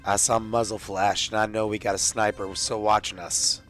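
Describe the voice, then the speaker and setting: A man's voice: on the low side, level tone, normal speed, fairly bright tone, faint music behind. A man roleplaying a soldier in a tabletop game, blunt and sweary.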